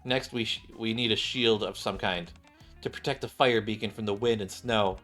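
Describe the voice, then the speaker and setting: A man's voice: on the low side, fairly even intonation, normal speed, fairly bright tone. Male game streamer narrating story text, stumbles and rereads, game music underneath.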